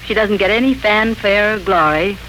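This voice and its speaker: A woman's voice: mid-range voice, moderate pace, fairly bright tone, natural voice. Actress as an earnest, sensible American woman. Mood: earnest, assured. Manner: earnest, explanatory. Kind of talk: 1940s radio drama acting. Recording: noisy 1940s radio broadcast recording.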